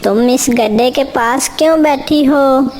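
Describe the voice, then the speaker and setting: A sly, lazy male crow from a children's cartoon, acted by a woman, talks slowly in a highish voice. He explains things in a coaxing trickster's manner, with faint noise in the recording. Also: a little mellow. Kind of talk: children's cartoon character dialogue.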